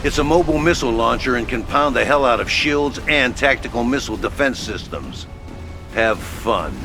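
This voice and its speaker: A man acting a brash headquarters officer in a video game, with a middle-pitched voice. He is salty, tough and casual, clipping his sign-offs over very loud music. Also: expressive, normal speed, natural voice. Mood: brisk, wry. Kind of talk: video game mission briefing.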